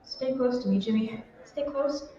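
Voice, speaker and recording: A woman's voice: sits high, moderate pace, a crowd in the background, natural voice. A woman playing a sweet, anxious girlfriend in an old-time radio drama, affectionate and apprehensive. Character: sweet, anxious girlfriend reluctant to attend a party. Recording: muffled, very echoey, distant, faint noise.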